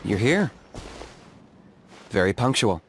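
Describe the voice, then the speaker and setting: An actor playing a cool, composed young adventurer in a video game, calm, dry and matter-of-fact. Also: male voice, on the low side, slow pace, noisy background, heavily acted. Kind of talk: video game character acting.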